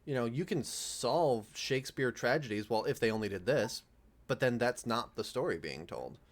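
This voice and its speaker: A man's voice: medium pitch, lively intonation, normal speed. A man talking casually in a group commentary, analytical and discursive, piling up examples as he goes. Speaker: panelist in a cast and crew commentary.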